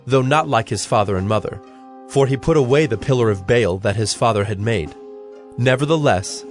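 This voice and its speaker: A man narrating scripture from a script in a solemn storytelling manner. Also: lowish voice. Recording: background music under the voice.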